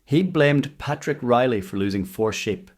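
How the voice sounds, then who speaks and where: A man's voice: medium pitch, animated, normal speed, natural voice. A man playing a loyal, plain-spoken Irish convict servant in a dramatised history podcast. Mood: confiding.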